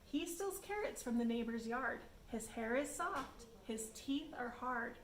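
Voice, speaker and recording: A woman's voice: fairly high pitch, expressive, measured pace. A woman reading a rhyming children's picture book aloud, addressing children and inviting guesses. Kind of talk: rhyming storybook read-aloud. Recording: echoey room, background noise.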